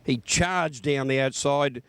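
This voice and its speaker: A man's voice: mid-range voice, slow pace, smooth, no room sound. A man with an Australian accent reading a scripted horse racing report.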